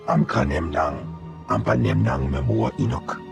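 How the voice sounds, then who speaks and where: A man voicing a gruff alien creature that speaks in a guttural, gravelly alien tongue in a game. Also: lowish voice, very animated, normal speed, over music, exaggerated voice.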